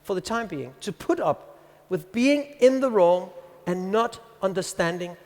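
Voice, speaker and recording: A man in his fifties with a Danish accent speaks to a conference audience in a high voice. He tells anecdotes and reads text aloud with deliberate pauses, and the sound rings with the long reverb of a large hall. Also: lively intonation, darkish tone. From Denmark. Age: 50s. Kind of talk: presentation to an audience.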